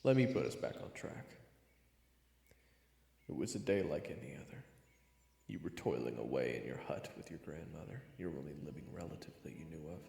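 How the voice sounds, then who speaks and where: A man narrating a fantasy tale in a dramatic, measured and theatrical way. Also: a bass voice, animated, creaky, warm tone. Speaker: storyteller and narrator of an audio roleplay series. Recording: roomy sound with long reverb. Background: prominent music.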